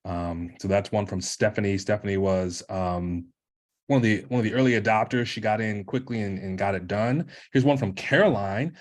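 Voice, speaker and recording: Low-voiced man making webinar announcements. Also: normal speed. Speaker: webinar co-host. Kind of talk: reading testimonials and giving announcements. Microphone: video call.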